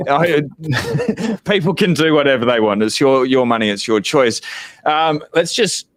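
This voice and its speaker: A man's voice: medium pitch, animated, moderate pace, dry recording. A man hosting a casual livestream chat, rambling and laid-back, swearing now and then. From Australia. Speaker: livestream host.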